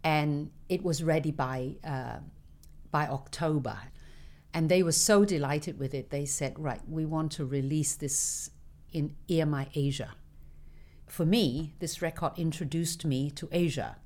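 Older woman with a low voice. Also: measured pace, darkish tone. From Hong Kong. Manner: anecdotal, laughs between stories. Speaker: singer interviewed as guest. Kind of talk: reminiscing interview.

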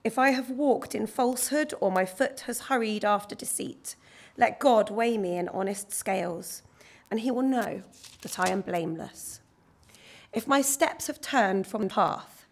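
A woman reading a long Bible passage aloud in church, her voice husky from singing. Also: mid-range voice. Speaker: scripture reader.

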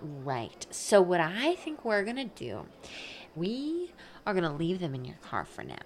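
A woman's voice: lowish voice, huge pitch swings, unhurried, a little hiss or hum. A woman playing a politely evasive, falsely sweet school board mother in an improvised comic radio play, tactful and hedging. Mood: strained, placating.

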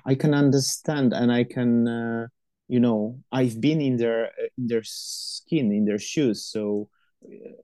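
A man's voice: lowish voice, mellow, no echo. A middle-aged man who is not a native English speaker, answering interview questions about supporting university students, with many fillers and restarts.

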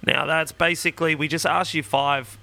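A woman's voice: low voice, steady tone, moderate pace, creaky, bright, forceful tone. A woman co-hosting a podcast with casual, jokey banter. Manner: jokey, informal. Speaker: podcast co-host.

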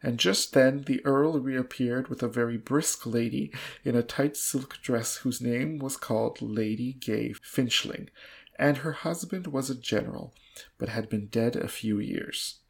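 A man's voice: medium pitch, measured pace, vocal fry, breathy edge. A man narrating a story aloud as a dramatic reading on a book podcast, with comic asides.

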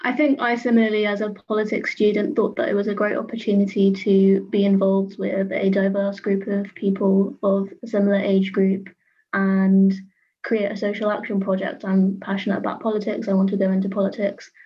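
A young woman studying politics, speaking in a steady, purposeful way. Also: mid-range voice, a little fast, slightly rough, highs cut off. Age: young adult. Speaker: politics student. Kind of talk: casual discussion.

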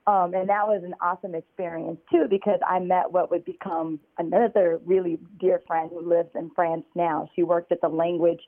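A woman's voice: fairly low pitch, level tone, normal speed, few pauses, no echo. An American woman telling her story as an interview guest over a phone call, chatty and full of fillers. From United States. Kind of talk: conversational interview. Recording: tinny phone line.

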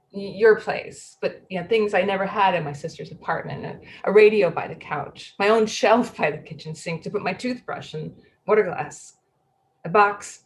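A woman playing an anxious, slightly odd middle-aged woman who speaks haltingly in a play reading. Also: fairly low pitch, low-quality recording. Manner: halting, repeating words, fretful.

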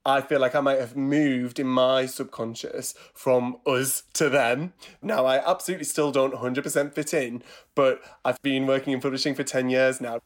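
Guy, publisher, thoughtful and honest, mid pitch, easy chat. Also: steady tone, moderate pace. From Teesside, England. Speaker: trade publisher. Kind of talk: interview.

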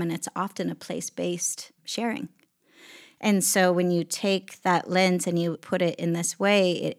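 Female interview guest from Alaska, slightly low and gravelly, reflective, deliberate phrasing. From Alaska, United States. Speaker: podcast guest, executive director of an arts venue.

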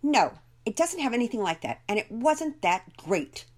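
A woman's voice: mid-range voice, big pitch swings, normal speed, a little mellow, pitched above the performer's own voice. A woman voicing a sulky, edgy young girl in an audiobook story, with a whiny, defensive manner. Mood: cross, sulky.

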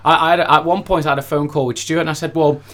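A man's voice: fairly high pitch, fairly even intonation, fast talker, a bit bright. A man speaking as a singer-songwriter guest in a casual interview, effusive and rambling, often repeating his phrases.

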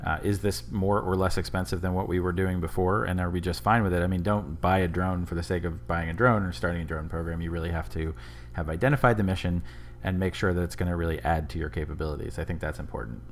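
A man's voice: low voice, steady tone, fast talker, slight background noise. A man co-hosting a podcast, talking in a conversational discussion style. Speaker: podcast co-host.

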